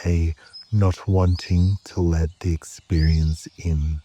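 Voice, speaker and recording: A man guiding a meditation in a calm, measured way, with short phrases, long pauses and a soft, at times breathy voice. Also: low-pitched, slight background noise. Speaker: yoga nidra meditation guide. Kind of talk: slow guided meditation narration.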